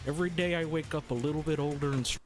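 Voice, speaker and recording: A man voicing an earnest, odd boy hero with deadpan, folksy musing in an improvised comedy fan dub of a video game. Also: a little high, racing, close to an everyday voice. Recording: game music behind the voice.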